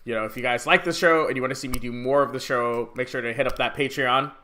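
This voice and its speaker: A man with a bright, forward voice hosts a casual podcast interview. He talks in a loose, chatty, friendly way. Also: medium pitch, fast. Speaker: podcast host.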